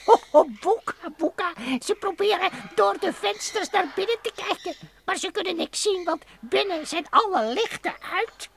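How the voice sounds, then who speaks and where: Small eager alien creature for children's radio play, extremely high voice, curious, stubborn, exclamatory. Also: female voice, normal speed, gravelly, slight background noise. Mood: excited. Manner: exclaims repeatedly in nonsense catchwords, slightly stilted phrasing. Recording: old radio play recording.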